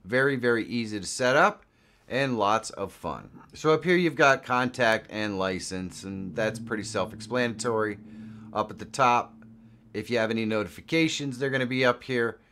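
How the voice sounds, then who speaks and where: A man presenting a software walkthrough in an informal, chatty way, as a voice-over on a close mic. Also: medium pitch, moderate pace. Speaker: tutorial presenter.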